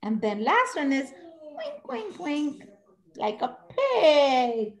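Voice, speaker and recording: Lively high-voiced preschool teacher. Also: female voice, slow pace. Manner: animated, sings animal sounds, coaxing children. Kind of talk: online preschool class. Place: classroom-like room with posters, indoors. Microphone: video call.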